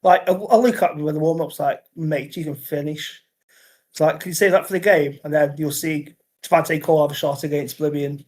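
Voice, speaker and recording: A young man with a Scouse-tinged Northern English accent, co-hosting a casual football podcast in an excitable, bouncy way. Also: on the high side, fairly quick. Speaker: podcast co-host.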